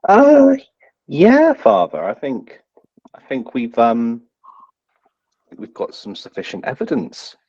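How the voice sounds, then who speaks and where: A man's voice: mid-range voice, huge pitch swings, slow, long pauses, darkish tone, no echo, voice pushed up, heavily acted. A man voicing a cheeky, bumbling young squire in a tabletop game, hesitant and rambling, on a slightly dull close microphone.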